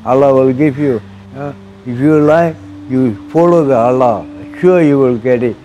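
An older man in his sixties speaks in Malaysian-accented English, earnest and plain, circling back over his words. His voice sits at an ordinary middle pitch and is caught close on a lapel mic. A faint hum of street traffic lingers behind him in the open walkway. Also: moderate pace, warm tone, highs cut off. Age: 60s. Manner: broken English, earnest and repetitive. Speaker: interviewee. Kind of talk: personal testimony. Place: arched outdoor walkway of a mosque.

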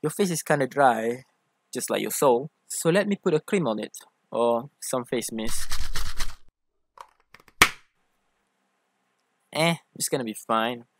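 A man's voice: medium pitch, lively intonation, moderate pace. A man playing an affectionate, theatrical young boyfriend who teases and coaxes in an ASMR roleplay. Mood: tender, teasing. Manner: theatrical, teasing, coaxing. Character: playful, affectionate, teasing young femboy boyfriend.

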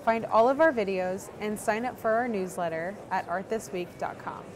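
Woman, announcer, scripted sign-off, mid pitch, noticeable background noise. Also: normal speed.

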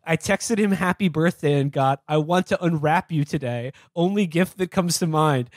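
Male podcast host, casual comic read-aloud delivery, slightly higher register, dry close sound. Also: moderate pace, no echo. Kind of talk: casual comedic podcast talk, reading aloud texts.

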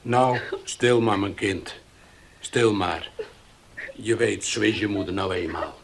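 An actor playing a calm, protective middle-aged father soothing his daughter in a 1970s radio play. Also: lowish voice, slow pace, heavily acted. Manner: soothing, gruff.

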